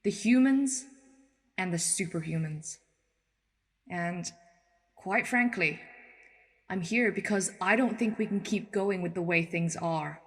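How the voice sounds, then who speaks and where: An actress playing a tough, plain-spoken ex-military leader in a radio play, making a frank and earnest speech. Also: female voice, lowish voice, slow pace, long pauses. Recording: long hall reverb.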